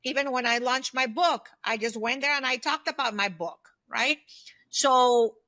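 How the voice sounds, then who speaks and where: A woman in her fifties talks as a podcast guest with a bright, forceful voice set a little high. The recording is dry and close, and she gives advice in an emphatic, conversational way, often checking that the listener follows. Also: unhurried, no room sound. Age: 50s. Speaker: podcast guest, former aerospace executive. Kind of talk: conversational interview, giving advice.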